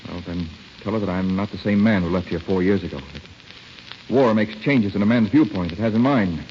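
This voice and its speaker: An actor playing a bitter, resigned young war-wounded captain in an old radio drama, clipped and restrained. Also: male voice, sits low, normal speed, lightly acted. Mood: controlled, insistent. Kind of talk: 1930s-40s radio drama acting. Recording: dull, hissy old radio recording.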